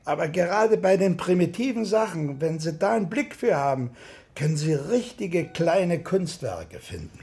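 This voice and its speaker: Gravelly, chatty older hobbyist man. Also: on the high side, very animated, warm tone. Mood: chatty, proud. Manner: colloquial, halting asides. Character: older male model-ship club member, chatty and opinionated. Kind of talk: naturalistic radio drama acting.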